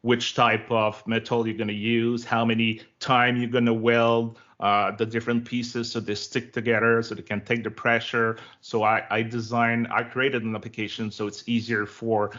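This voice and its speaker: A man's voice: medium pitch, flat delivery, normal speed, hardly any pauses, a bit bright. A man in his forties from Quebec, a native French speaker with a Québécois French accent, chatting as an interview guest in a friendly, talkative way full of fillers. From Quebec, Canada. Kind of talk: casual interview chat. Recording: lo-fi online call audio.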